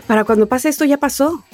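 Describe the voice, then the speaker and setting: A woman's voice: high voice, sing-song, normal speed, soft background music. A woman co-hosting a podcast, chatting in a casual, joking and informal manner. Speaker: podcast co-host. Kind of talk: casual chat.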